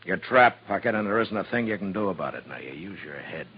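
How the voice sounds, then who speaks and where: An actor playing a steady, authoritative frontier marshal in a 1950s radio Western, speaking in a terse, firm way. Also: male voice, deep voice, quick pace, husky. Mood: firm, unyielding. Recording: muffled, hissy 1950s radio recording.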